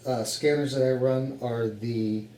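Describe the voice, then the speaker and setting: Mellow American man in a roomy space. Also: mid-range voice, fairly even intonation, unhurried. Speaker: hobbyist radio operator presenting his setup. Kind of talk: casual explanatory equipment walkthrough. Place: home office radio room, indoors. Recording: roomy echo with faint background noise.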